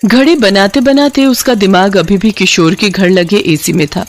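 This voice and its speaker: A woman's voice: mid-range voice, moderate pace. A woman narrating a scripted children's moral story.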